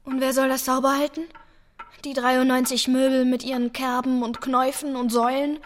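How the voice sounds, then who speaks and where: Actress as a higher-voiced, practical young wife. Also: female voice, little pitch movement, normal speed, faint music behind, natural voice. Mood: indignant, determined. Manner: direct, matter-of-fact. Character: plain-spoken, practical young working-class wife, headstrong yet tender. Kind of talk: radio play acting.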